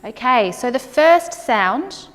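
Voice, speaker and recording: Woman, 30s, teacher voice, very slow and lively, echoey classroom. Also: high-pitched. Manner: slow, repeats words, models sounds for learners. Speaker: ESL teacher. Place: large classroom with brick wall, indoors.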